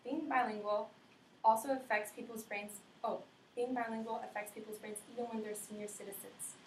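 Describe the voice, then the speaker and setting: A woman's voice: fairly high pitch, steady tone, a little fast, a little mellow. Young woman giving a class speech. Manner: earnest, frequent restarts and self-corrections. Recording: roomy classroom audio from a distance with faint noise.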